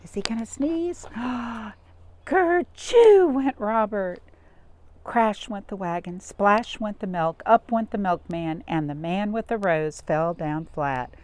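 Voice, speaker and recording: A woman reading a picture book aloud to young children, expressive, with dramatic gasps and questions to her listeners. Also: medium pitch, slow, no room sound. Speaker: storytime reader.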